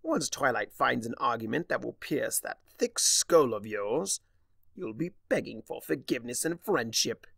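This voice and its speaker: Casting: male narrator as pompous, vengeful chimera trickster for a storybook, gravelly, taunting, wildly varied pitch. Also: lively intonation, unhurried, a bit bright, no echo, clean studio sound, heavily acted. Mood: smug explaining. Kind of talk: dramatic fan fiction audiobook reading.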